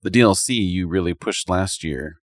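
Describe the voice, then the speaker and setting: A man's voice: low-pitched, normal speed, no room sound, clean studio sound. A man in a casual, rambling chat about video games as a podcast co-host, speaking colloquially with lots of "like".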